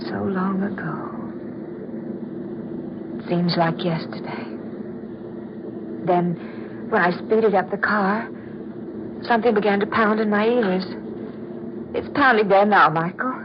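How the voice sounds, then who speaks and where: Actress as haunted soprano in noisy vintage radio horror. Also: female voice, mid-range voice, dull audio, close to an everyday voice. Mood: mounting dread. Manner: theatrical, dramatic, lingering pauses. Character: troubled soprano star haunted by dread and lost love. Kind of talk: old-time radio horror drama acting.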